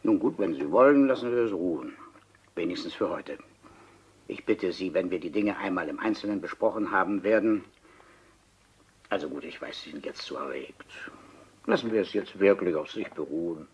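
An actor playing a coolly persuasive, insistent man in a radio play, measured and coaxing. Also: sits low, animated, darkish tone, slight background noise. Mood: placating. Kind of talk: German radio play acting. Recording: dull, muffled tape sound.

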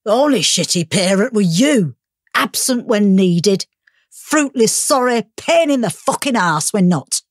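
A woman's voice: mid-range voice, lively intonation, a little slow, no room sound, studio quality. An actress playing a hard, defiant mother in an audiobook drama, scornful and firm. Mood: bitter, defiant.

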